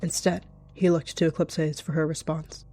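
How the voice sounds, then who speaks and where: A man's voice: fairly high pitch, level tone, normal speed, darkish tone. A man giving dramatic third-person narration as a player in a story roleplay.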